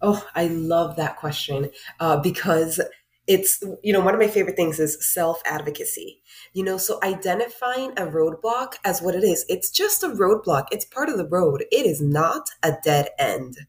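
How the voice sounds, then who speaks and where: A woman's voice: on the low side, expressive, moderate pace. A woman from Honduras who works as a life coach, speaking earnestly as a podcast guest. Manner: earnest, reflective, encouraging. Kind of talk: casual podcast interview.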